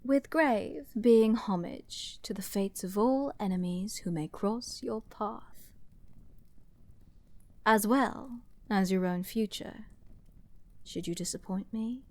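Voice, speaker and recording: A woman's voice: medium pitch, no echo. Woman acting out a haughty, flirty, menacing princess, dry close sound. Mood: imperious, amused. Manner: haughty, theatrical, drawn-out phrasing with hushed breathy asides. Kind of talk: dramatic ASMR roleplay audio acting.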